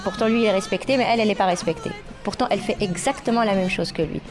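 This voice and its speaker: A woman from Morocco, a singer and rapper, speaking earnestly in a documentary interview, pausing often with fillers. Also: medium pitch, over music.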